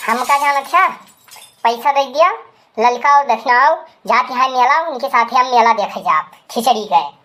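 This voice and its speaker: A woman's voice: on the high side, normal speed, fairly bright tone, close to an everyday voice. A woman voicing a dramatic, scolding village woman with an Awadhi accent in a rural cartoon comedy. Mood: indignant, angry. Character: loud village women, scandalized gossips and an angry betrayed wife.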